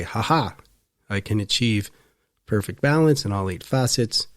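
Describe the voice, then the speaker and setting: A man giving a reflective personal monologue as a podcast host, phrasing his thoughts deliberately. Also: on the low side, darkish tone, no room sound, studio quality.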